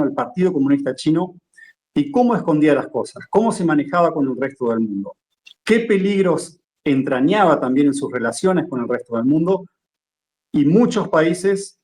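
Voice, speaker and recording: A man's voice: a little high, darkish tone. A man from Argentina with an Argentine Spanish accent, a journalist guest explaining at length and checking in with "¿sí?". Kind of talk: interview conversation.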